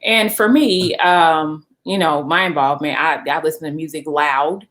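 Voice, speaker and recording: A woman's voice: low voice, steady tone, moderate pace, a bit bright. A middle-aged woman talking earnestly with her husband about family and communication, with frequent fillers. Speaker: wife co-host. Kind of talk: casual conversation.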